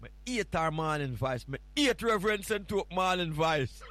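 A man's voice: a little high, expressive, normal speed, fairly bright tone, no echo. A man with a Jamaican accent, filling in as a radio host and chatting casually on a morning show in a playful, rambling, teasing way.

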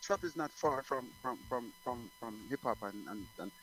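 A man's voice: mid-range voice, very animated, moderate pace, a bit bright, faint music behind, low-quality recording. A middle-aged man from Jamaica, a guest singer talking casually in an interview, rambling with many restarts.

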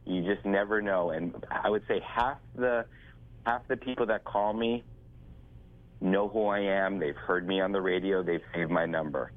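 A man with a low voice calls in over a thin, phone-like line. He is congested and stuffed up, and he talks in a chatty, salesy way that circles back and repeats itself. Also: normal speed. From northeast United States. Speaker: attorney calling in to radio. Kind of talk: radio call-in chat.

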